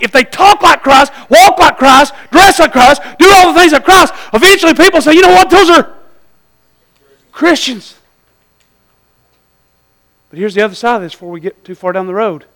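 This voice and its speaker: A male preacher with a Southern US accent and an extremely high-pitched voice. His tone is bright and forceful. He delivers a church sermon in a folksy, urging style, leaning on repeated phrases and direct questions.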